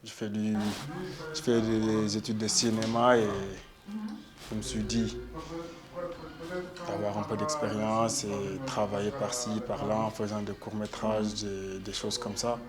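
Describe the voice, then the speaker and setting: A man's voice: fairly low pitch, monotone, measured pace, a crowd in the background. A young man from Senegal who studied film, speaking earnestly in a documentary interview and often repeating words as he searches for them.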